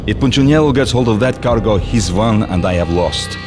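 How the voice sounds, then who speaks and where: Low-voiced, theatrical gangster arms dealer played by a man. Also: expressive, brisk, over music, lightly acted. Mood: businesslike, persuasive. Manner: playful, theatrical, persuasive. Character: jovial, theatrical gangster arms dealer with old-time honor. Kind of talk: dramatic video game acting.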